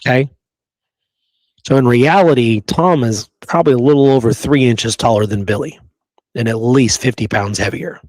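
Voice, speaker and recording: A man's voice: mid-range voice, normal speed, darkish tone, no echo, poor audio. A man co-hosting a history discussion, talking conversationally and emphatically, drawing out key phrases and asking rhetorical questions. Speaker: history show co-host. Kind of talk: conversational debunking discussion of an old photograph.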